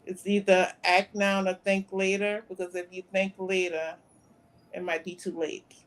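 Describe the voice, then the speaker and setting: A woman in her fifties, a guest on a talk show, recounting events in a plain, soft-spoken way. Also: medium pitch, flat delivery, unhurried. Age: 50s. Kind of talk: interview conversation.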